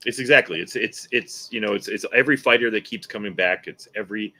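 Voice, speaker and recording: A man's voice: fairly low pitch, fast talker, sharp tone. A middle-aged man talking casually as a guest filmmaker on a panel.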